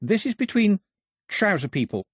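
A male narrator plays a young woman in a storybook adventure. The voice is lifted high and husky-soft, urgent and articulate. She pleads and bargains defiantly with sweeping intonation. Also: sing-song, normal speed, dry recording, clean studio sound, full character voice. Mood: urgent warning.

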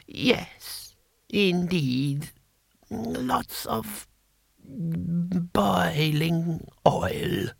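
Halting, breathy ancient cruel emperor. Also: male voice, high voice, dry recording, studio quality, voice pushed up, full character voice. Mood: soft menace. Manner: slow, halting, drawn-out. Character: ancient cruel emperor, gleeful, whimsically murderous old man. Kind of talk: audiobook character voice.

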